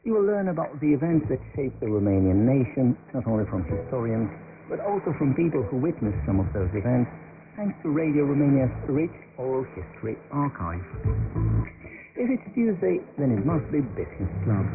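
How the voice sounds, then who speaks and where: A man's voice: medium pitch, very animated, fairly quick, music in the background. A man announcing radio programmes from a script, heard over shortwave radio. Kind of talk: scripted programme promo narration. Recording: noisy narrow-band shortwave radio broadcast.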